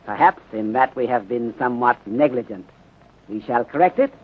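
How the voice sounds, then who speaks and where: Through a narrow, muffled 1930s radio recording comes a courteous Chinese detective. He reasons calmly in formal, clipped sentences, pausing with care. The voice is middling in pitch and slightly rough. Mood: polite, assured. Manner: formal clipped phrasing with dropped articles, deliberate pauses. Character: courteous, methodical Chinese male detective inspector, calm and deliberate. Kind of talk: 1930s radio drama acting.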